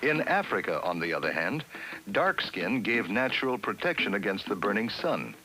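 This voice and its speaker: A man giving measured, scripted narration for a 1960s classroom educational film. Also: on the low side, sing-song, sharp tone, soft background music, poor audio. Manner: measured, explanatory.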